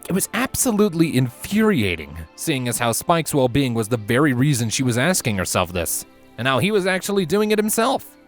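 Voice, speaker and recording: A man reading a fiction story aloud as its narrator, in an expressive scripted style. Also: medium pitch, a little fast, soft background music.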